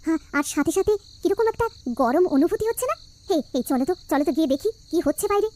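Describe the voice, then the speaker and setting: A woman's voice: very high-pitched, animated, quick pace, warm tone. A woman with a West Bengal accent voicing an anxious, devout cartoon village wife who speaks emphatically and repeats herself. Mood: relieved, excited. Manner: plaintive, emphatic, repeats phrases.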